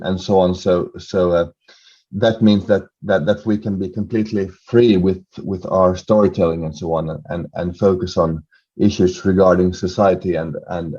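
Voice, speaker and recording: A man from Finland, a documentary producer and podcast guest, talking in a halting way with many repeated words. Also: low voice, a little mellow, dull audio. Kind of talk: casual interview answers.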